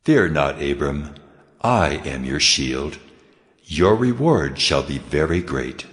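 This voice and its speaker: A very deep, reverberant God. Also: male voice, sing-song, slow, exaggerated voice. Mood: solemn, assuring. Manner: solemn, declarative. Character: God, solemn commanding male divine voice of promise. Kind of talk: dramatized Bible reading. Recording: long cavernous reverb.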